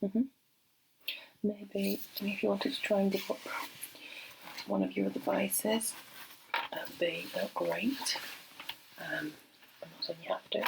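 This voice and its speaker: Middle aged lady doing asmr doctor roleplay, soft n breathy, super crackly vocal fry, bit of hiss behind. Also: medium pitch, lively intonation. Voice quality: breathy, often dropping into a whisper. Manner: gentle, unhurried, hesitant pauses. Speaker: ASMR performer role-playing a doctor. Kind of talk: soft-spoken ASMR medical role play. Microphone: close microphone.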